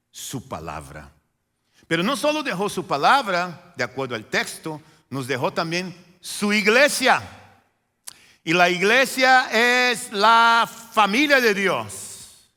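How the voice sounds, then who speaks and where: Man, native Portuguese speaker with a Brazilian accent, pastor preaching in a reverberant church sanctuary; emphatic repetitions. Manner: preaching with repetition and swings from loud emphatic lines to quiet low ones. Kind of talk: sermon.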